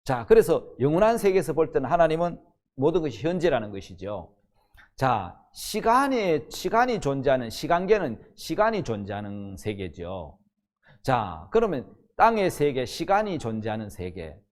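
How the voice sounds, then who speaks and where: A man's voice: medium pitch, very animated, normal speed. A man in his sixties, a pastor teaching the Bible in a sermon, emphatic and often posing questions that he answers himself. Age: 60s.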